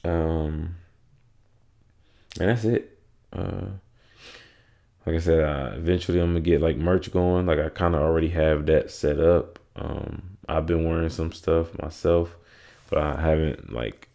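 Young male podcast host, congested and sore-throated; deep, dark, gravelly voice, rambling casual delivery. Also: fairly even intonation, unhurried. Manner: rambling, many fillers and restarts. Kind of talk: casual solo podcast chat.

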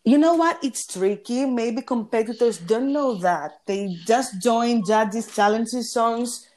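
A woman with a deep, gritty voice, a singing competition judge talking in a casual interview and pausing often on fillers. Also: a little mellow. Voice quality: deep voice with grit. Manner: hesitant, pauses with fillers.

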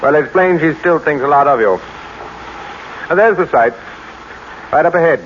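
A man's voice: fairly high pitch, animated, normal speed, close to an everyday voice. An actor playing a cold, curt, scheming businessman husband in an old-time radio drama. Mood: smooth, quietly menacing. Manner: curt, commanding. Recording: muffled, noisy old radio recording.

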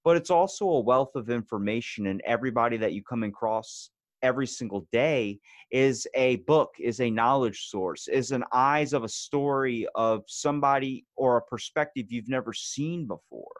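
A man talks as a podcast guest, blunt and fired-up, swearing freely in casual conversation. His voice sits at an ordinary middle pitch. The sound is close and dry, with no room echo. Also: moderate pace.

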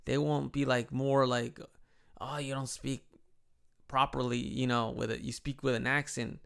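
A man's voice: mid-range voice, flat delivery, measured pace, vocal fry, fairly bright tone, smooth. A man in his thirties from Chicago with a Midwest American accent and a slight Mexican tinge, chatting casually and rambling in a personal vlog. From Chicago, United States. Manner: rambling, chatty, frequent restarts. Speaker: vlogger living in Japan.

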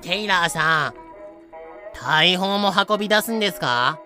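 A man's voice: high voice, expressive, pushed and bright, faint music behind, heavily acted. A man playing a young pirate captain, polite and businesslike yet coolly ruthless, in a fan-made voice drama. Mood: puzzled politeness. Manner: brisk, businesslike polite speech. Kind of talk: fan-made anime-style voice drama with narration.

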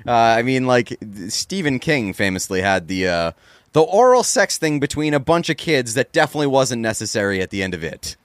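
Male podcast co-host, lively pitch, jokey casual chat, dry sound. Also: no echo. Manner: laughing, jokey, quick asides.

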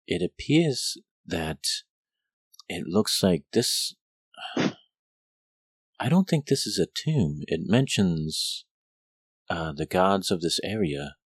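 A man's voice: low voice, no room sound, full character voice. A man voicing a distracted, stammering female scholar in a tabletop game. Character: excitable female scholar absorbed in glyphs.

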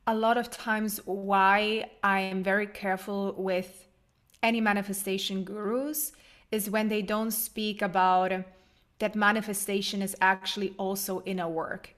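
A woman's voice: medium pitch, a bit bright. A woman from Germany hosting a podcast, talking earnestly and reflectively with many fillers. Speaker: podcast host. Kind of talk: conversational interview.

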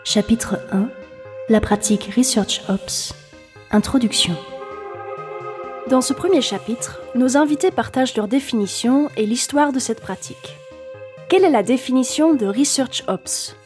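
Woman host reading a podcast script, fairly high voice, steady and measured, soft music under it. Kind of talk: scripted narration.

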